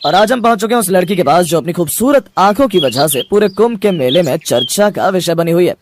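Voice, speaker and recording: Man playing a brash, high-pitched TV reporter. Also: lively intonation, brisk, background noise. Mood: eager. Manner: eager, announcing, bossy. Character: brash male TV reporter at a crowded fair.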